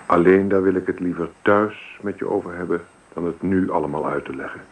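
A remorseful husband in a radio play, with a low voice and slow delivery. He confesses in a measured, formal manner, as if reading a letter. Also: rough recording. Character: remorseful unfaithful husband writing home to his wife. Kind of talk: letter read as radio drama monologue.

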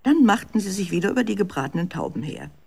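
A woman's voice: medium pitch, very animated, quick pace, creaky, a little mellow, slight background noise. A woman narrating a children's radio drama as a scripted storyteller.

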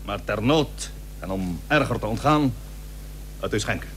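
An actor playing a plucky, stubborn young seafarer and merchant in a period radio drama, speaking earnestly in formal old-fashioned phrases. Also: male voice, on the low side, expressive, unhurried. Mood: firm, unyielding. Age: young adult. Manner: earnest, formal archaic speech. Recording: noisy old radio recording with hum.

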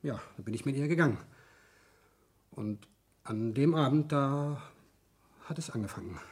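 A cornered office clerk in a seventies German crime radio play. His voice is husky and of middle pitch. He talks haltingly, weighed down by guilt and pleading to be believed. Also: animated, darkish tone. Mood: defensive, uneasy.

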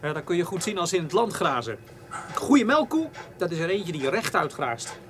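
Keen, animated young dairy farmer. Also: male voice, high voice, normal speed. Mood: proud, keen. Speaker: actor in a radio play. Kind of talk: radio drama dialogue.

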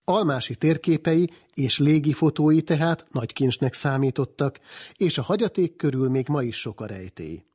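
A man reading scripted radio documentary narration in a husky voice. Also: on the high side, animated. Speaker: programme narrator. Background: soft guitar music.

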